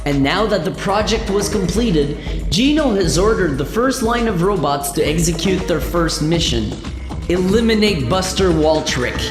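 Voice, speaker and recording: A woman narrates a sci-fi radio play in a fairly low voice with animated pitch. The recording is echoey with long reverb, and music plays clearly behind her. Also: measured pace.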